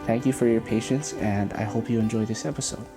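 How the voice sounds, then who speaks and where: A man's voice: lowish voice, steady tone, fairly quick. Earnest man hosting over guitar music. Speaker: show host. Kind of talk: scripted introduction.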